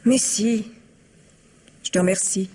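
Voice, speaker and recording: An actress playing a scheming, dissatisfied wife in a vintage French radio mystery, with a sarcastic, insistent, reproachful manner. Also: female voice, mid-range voice, slow pace, warm tone, slightly rough. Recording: slightly muffled vintage radio drama recording.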